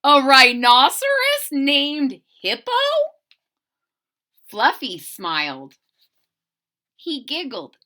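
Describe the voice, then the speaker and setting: Expressive, high-pitched woman reading a children's story. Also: extremely slow, long pauses. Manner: expressive, dramatic emphasis. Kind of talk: animated read-aloud narration with asides to young listeners.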